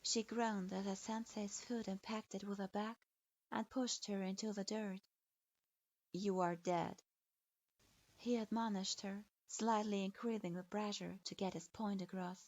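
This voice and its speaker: A woman reading a dark fanfiction story aloud as its narrator, in a steady, even, scripted manner. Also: medium pitch, normal speed. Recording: dull dry lo-fi recording.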